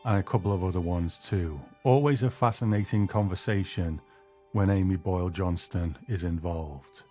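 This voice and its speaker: A man's voice: sits low, moderate pace, mellow. A man narrating a show in a scripted radio style, with music behind him.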